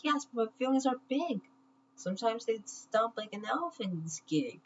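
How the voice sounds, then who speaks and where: A woman's voice: fairly high pitch, animated, a little slow, pitched above the performer's own voice. A woman voicing an anxious, sensitive young female chameleon in a children's story, on a muffled, noisy recording. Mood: hesitant, worried.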